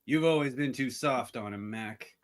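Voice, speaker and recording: A man's voice: mid-range voice, normal speed, close to an everyday voice. A man playing a hot-headed, hateful frontier deputy in amateur radio theatre, belligerent and insistent.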